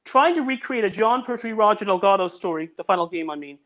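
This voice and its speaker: A man's voice: high voice, animated, quick pace, a bit bright. A man who makes audio dramas, chatting on a video call in an earnest way and often restarting his sentences. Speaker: audio drama producer and guest. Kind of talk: casual podcast chat.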